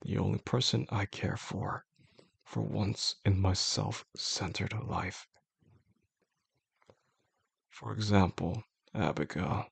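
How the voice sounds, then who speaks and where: A male voice actor playing an obsessive, calmly menacing boss in an intimate audio roleplay, speaking in a slow, deliberate, coaxing way with formal wording and a gravelly, husky voice. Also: on the low side, no room sound, full character voice. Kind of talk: intimate scripted roleplay monologue.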